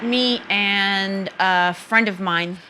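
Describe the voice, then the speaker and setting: A woman casually recounting a trip, halting with fillers. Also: medium pitch, very noisy. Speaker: interviewee.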